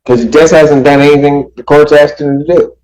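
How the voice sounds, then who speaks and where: A man speaking as a judge from the bench, conversational and plain-spoken, often halting as he reasons aloud. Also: fairly high pitch, fairly even intonation, darkish tone, rough recording. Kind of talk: spontaneous courtroom remarks from the bench.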